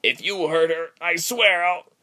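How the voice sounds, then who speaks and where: Man acting a young prisoner, storybook character, higher strained voice, defiant, protective. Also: expressive, drawn-out words, sharp tone, full character voice. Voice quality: strained, croaky. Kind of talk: audiobook character voice.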